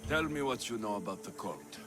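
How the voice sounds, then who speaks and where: An actor playing a confident mercenary hero of ancient Greece in a video game, with a dry, sardonic, businesslike manner. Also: male voice, lowish voice, expressive, a little fast, over music, close to an everyday voice. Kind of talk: video game dialogue acting.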